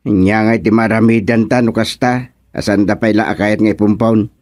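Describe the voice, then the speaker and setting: A man's voice: lowish voice, fairly even intonation, normal speed, a little mellow, natural voice. A man acting in a radio drama comedy as a reluctant, grumbling village man with an Ilocano accent. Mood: resigned, grumbling. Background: music.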